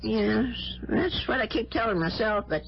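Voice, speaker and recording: Older female landlady for a radio drama: very lively high pitch, kindly, fretful, stubborn, on a muffled vintage broadcast. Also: normal speed, creaky. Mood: insistent. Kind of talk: old-time radio drama acting. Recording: dull vintage radio broadcast, music behind.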